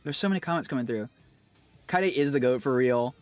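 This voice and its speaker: A man chatting casually with his livestream viewers, rambling and animated, with lots of fillers and quick laughs. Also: mid-range voice, no room sound, soft background music. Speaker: streamer and singer.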